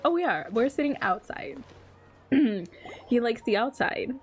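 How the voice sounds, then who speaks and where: A woman's voice: on the high side, animated, unhurried. A woman giving casual, playful game reactions, giggly and informal, often repeating herself. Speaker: streamer. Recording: background music behind the voice. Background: game music.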